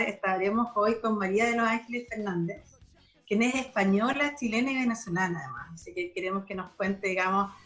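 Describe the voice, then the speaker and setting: A woman's voice: mid-range voice, a bit bright. Woman radio host, stops and restarts a lot, lots of fillers. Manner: halting, many fillers and restarts. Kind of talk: radio interview.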